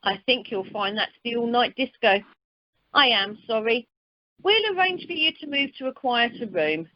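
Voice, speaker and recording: A woman playing a wry, quick-witted holiday rep in an amateur radio comedy, on a dull conference line. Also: on the high side, lively intonation, no room sound. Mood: polite, professional.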